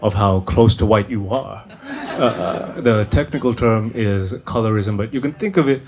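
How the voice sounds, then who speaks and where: A man from Sri Lanka doing conversational stand-up comedy in a hesitant, deadpan way on a stage microphone at a live venue. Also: on the low side, moderate pace. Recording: noisy live show recording.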